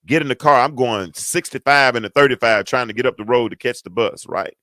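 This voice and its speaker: A middle-aged man hosting a talk show, telling a parenting story with a deep, stentorious voice and emphatic repetition. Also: fairly quick, fairly bright tone, no room sound. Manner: emphatic, repeats words for effect, folksy asides. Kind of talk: casual storytelling to an audience.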